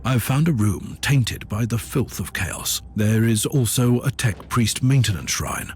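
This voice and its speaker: A man playing a grave, devout inquisitor psyker in a video game, speaking in a solemn, formal, measured way as if dictating a log. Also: mid-range voice, animated, a little hiss or hum, natural voice. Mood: grim, businesslike. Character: grave, devout male inquisitor psyker investigating a derelict fortress. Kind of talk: scripted game dialogue and audio log narration.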